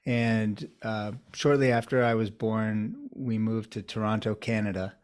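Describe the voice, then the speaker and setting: A man with a somewhat low voice answers interview questions as a doctor. He talks in a measured, reflective way, building his answers step by step. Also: darkish tone. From United States, New York area. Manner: measured, thoughtful storytelling. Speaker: urologist guest.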